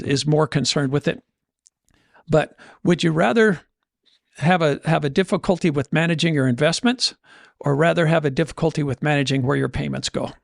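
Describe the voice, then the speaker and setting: A man's voice: a little high, creaky, dry recording, studio quality. A man speaking conversationally as a podcast host and financial coach, motivational in style, often voicing imagined inner dialogue to make his points. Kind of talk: conversational motivational money talk.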